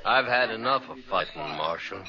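An actor playing a big, calm, war-weary man who won't fight, laconic and mild, in a 1950s radio western. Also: lowish voice, normal speed, lightly acted. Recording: dull old radio, crowd murmur behind.

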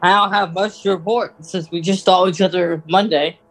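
Male podcast co-host, higher-than-average pitch, relaxed conversational delivery. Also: normal speed, poor audio. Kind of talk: casual podcast chat.

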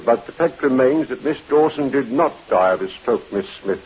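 An actor playing a courteous, persistent police detective in a radio crime drama, measured and formal. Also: male voice, medium pitch, lively intonation, husky. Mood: politely insistent. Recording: hissy, muffled old radio recording.

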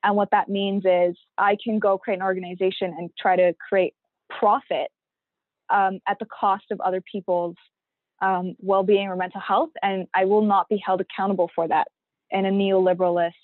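A woman's voice: mid-range voice, little pitch movement, normal speed, dry recording. A young woman guesting on a podcast, arguing earnestly with frequent "um"s. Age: young adult. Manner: earnest and argumentative, with filler "um"s. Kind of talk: casual conversational interview. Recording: tinny phone-like line, dry.

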